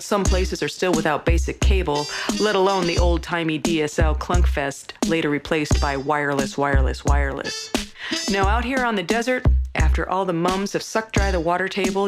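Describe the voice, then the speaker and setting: Loud instrumental music runs under a woman telling a satirical story. Her voice sits a little low, and she delivers the comic monologue in a folksy, deadpan way.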